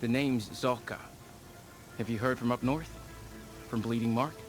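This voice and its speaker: An actor playing a serious soldier who speaks in short, clipped sentences. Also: male voice, medium pitch, fairly even intonation, measured pace, over music, close to an everyday voice. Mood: grave. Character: grave male soldier contact, worried. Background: game score.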